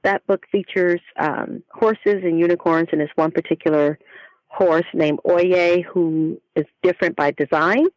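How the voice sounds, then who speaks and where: A woman's voice: lowish voice, fairly even intonation, measured pace, no room sound, lo-fi sound. A woman speaking as a children's author in an interview, explaining things thoughtfully in a conversational way.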